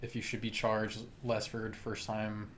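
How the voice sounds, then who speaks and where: A man's voice: lowish voice, monotone, moderate pace, fairly bright tone. A man co-hosting a podcast, talking things through in a reflective, casual way. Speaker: podcast co-host. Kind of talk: casual chat.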